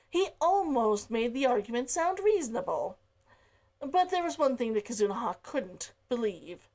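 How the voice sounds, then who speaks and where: A woman author reading her own story aloud and chatting to viewers, expressive, with sighs and laughs. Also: on the high side. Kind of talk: read-aloud narration and chatty asides.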